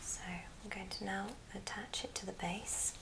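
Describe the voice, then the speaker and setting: A woman whispering breathily in a gentle ASMR craft tutorial, explaining step by step. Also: medium pitch, fairly even intonation, a little slow, gravelly.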